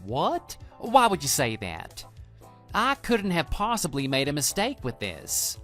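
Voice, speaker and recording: Guy doing a pushy cocky love rival for a radio drama, voice pitched up and jumpy, music underneath. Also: huge pitch swings, a little slow, fairly bright tone, heavily acted. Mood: defensive, incredulous. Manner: brash, pushy, incredulous. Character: cocky, immature male love rival, easily rattled. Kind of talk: scripted dialogue in an online radio drama. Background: background music.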